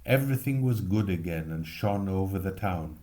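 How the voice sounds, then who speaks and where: A man reading a literary story expressively, with measured, lyrical phrasing. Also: low voice, expressive, mellow. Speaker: reader.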